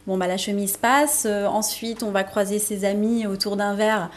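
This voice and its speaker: A woman's voice: medium pitch, steady tone, normal speed. A young woman from France, a fashion designer, explaining her work eagerly in a TV interview. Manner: eager, explanatory, laughs easily. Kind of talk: TV interview answers. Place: TV studio.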